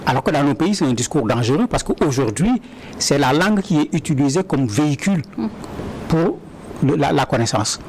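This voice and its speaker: A man with a fairly high voice gives earnest answers in an interview. He often stumbles and repeats words before getting going. A faint noise sits under the recording. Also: lively intonation, normal speed, darkish tone. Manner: earnest, repeats words when starting sentences. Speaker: interview guest.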